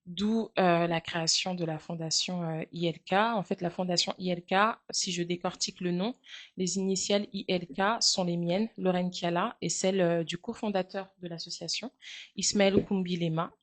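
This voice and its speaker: A French woman in her thirties answering interview questions, speaking in a measured, earnest way with frequent hesitant fillers. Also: on the low side, steady tone. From France. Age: 30s. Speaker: guest, charity founder.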